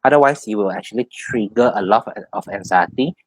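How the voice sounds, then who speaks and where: Man, low pitch, dry sound, tarot reader, spiritual guidance, halting repetitive explanations. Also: no echo. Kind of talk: spiritual advice in a one-on-one reading.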